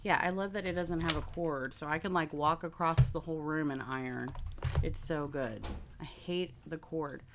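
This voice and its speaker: Woman, 40s, nasal, low-ish voice, rambling craft-stream chat, desk mic, faint keyboard typing. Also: fairly even intonation, normal speed, background noise. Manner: chatty, addresses viewers directly, rambling. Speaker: craft livestream host. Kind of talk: casual live sewing chat. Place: home craft room, indoors.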